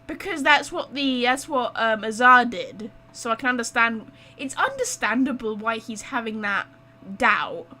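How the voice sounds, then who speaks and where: A woman's voice: fairly high pitch, animated, unhurried, sharp tone. A woman streaming a game live, reacting casually in an informal, giggly, chatty way.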